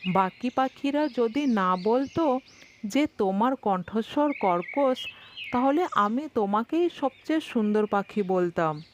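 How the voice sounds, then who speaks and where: A woman voicing a sly, hungry, flattering fox in a children's cartoon story. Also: a little high, a little hiss or hum. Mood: coaxing flattery. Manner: unhurried, coaxing flattery. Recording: dull lo-fi sound with music behind. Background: music.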